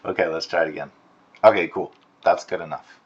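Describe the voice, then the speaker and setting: A man giving a casual, encouraging critique as an animation instructor, softening his suggestions with tentative phrasing. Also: sits low, steady tone, fairly quick, breathy edge, highs cut off. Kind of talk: casual spoken critique of student work. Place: screen-recorded voice-over.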